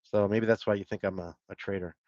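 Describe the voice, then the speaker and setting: A man's voice: on the low side, steady tone, quick pace, a little mellow, dry recording, muffled recording. A middle-aged man hosting a livestream, chatting casually and rambling as he answers viewer comments. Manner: rambling, self-deprecating, with fillers and laughs. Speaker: livestream host.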